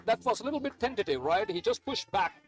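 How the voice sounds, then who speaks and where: A man's voice: high-pitched, lively intonation, quick pace, soft background music. A man who grew up in Sri Lanka, giving hesitant, chatty play-by-play of a live cricket match with many fillers. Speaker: cricket commentator.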